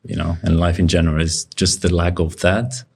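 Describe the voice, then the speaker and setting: A young man who grew up in Cyprus, whose first language is Bulgarian, talking through his story in a relaxed, reflective way with lots of fillers. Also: low-pitched, a little fast, creaky, husky. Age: young adult. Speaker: guest. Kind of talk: casual interview conversation.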